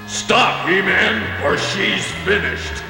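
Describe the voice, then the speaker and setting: A man's voice: high-pitched, slow pace. An actor playing a snarling villain's henchman with a barking, threatening delivery in a children's audio storybook. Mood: menacing. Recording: heavy echo, loud music behind.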